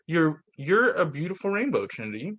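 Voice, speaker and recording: A man voicing a gentle, caring mother in a children's storybook. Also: a little high, big pitch swings, normal speed. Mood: thoughtful, caring.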